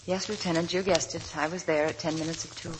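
An actress playing an earnest, shy young working woman, tender and wistful, speaking softly in a 1940s radio drama. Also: deep voice, fairly even intonation, fast talker, a little hiss or hum, close to an everyday voice, poor audio. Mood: wistful, reminiscing. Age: young adult. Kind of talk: 1940s radio drama acting, dialogue and narration. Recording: vintage 1940s radio broadcast, music behind.

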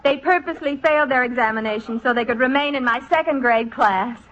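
An actress playing a kind young schoolteacher in a 1950s radio comedy. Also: female voice, sits high, fast, a bit bright. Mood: tender. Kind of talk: 1950s radio drama acting. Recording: dull old radio broadcast.

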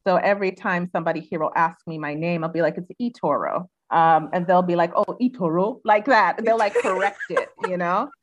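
Low-voiced reflective woman. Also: a little fast, few pauses, no room sound. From United States. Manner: reflective, many "um" and "you know". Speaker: guest. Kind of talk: casual interview talk.

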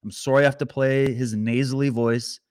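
A man hosting a political commentary stream, talking straight to his viewers with animated sarcasm. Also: medium pitch, a little fast, clear, resonant tone. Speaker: commentary host.

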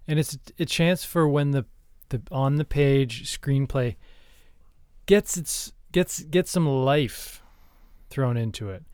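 Clear-voiced man hosting a podcast, close and dry. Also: a little high, a little mellow, dry recording. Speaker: podcast co-host. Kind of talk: casual podcast talk about screenwriting.

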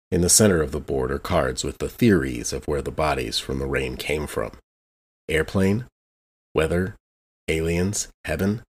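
An extremely deep, husky male voice as a man acts out a haunted, suspicious recluse. He narrates his private thoughts like a noir drama, brooding in short, clipped phrases. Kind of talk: first-person noir monologue, scripted.

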